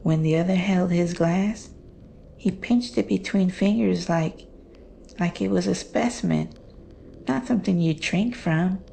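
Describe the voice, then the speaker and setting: A woman playing a haunted, fearful witness, telling a horror story in a hushed, halting, deliberate way. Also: lowish voice, vocal fry, slight background noise. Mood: haunted, uneasy. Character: haunted, fearful woman recounting her mother's terrifying secret. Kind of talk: slow dramatic horror storytelling.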